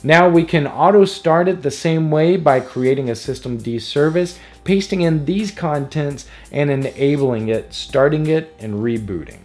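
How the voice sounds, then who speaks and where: A man's voice: on the high side, measured pace, few pauses, darkish tone. A man hosting a tutorial, reading scripted narration in a casual, explanatory way. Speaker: tutorial host. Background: background music.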